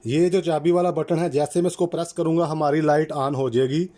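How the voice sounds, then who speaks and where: Higher-pitched man giving step-by-step instructions. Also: fairly even intonation, brisk, mellow. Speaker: electrician presenting a how-to channel. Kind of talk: step-by-step product installation tutorial.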